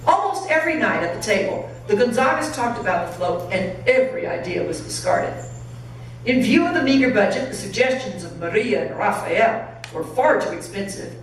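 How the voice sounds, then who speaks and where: A woman, a pastor, reading a story aloud in an expressive, dramatic narrating style. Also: medium pitch, moderate pace. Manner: dramatic reading, acting out dialogue. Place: church sanctuary. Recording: very echoey hall, distant, faint noise.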